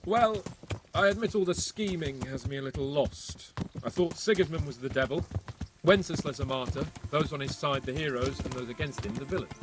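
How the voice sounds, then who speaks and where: A man's voice: medium pitch, fairly quick, music in the background, close to an everyday voice. An actor playing a cocky, worldly young medieval nobleman with a flippant, wry way of talking in video game dialogue. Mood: wry, candid.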